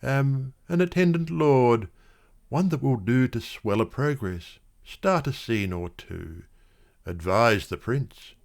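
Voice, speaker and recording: A man reciting literary verse dramatically, with measured, expressive phrasing. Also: medium pitch, gravelly. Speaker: poetry reader.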